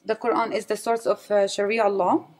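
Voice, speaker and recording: A woman's voice: mid-range voice, level tone, normal speed, darkish tone. A young woman from Palestine, raised in Saudi Arabia, giving earnest personal testimony as an interview guest, full of hesitations and fillers.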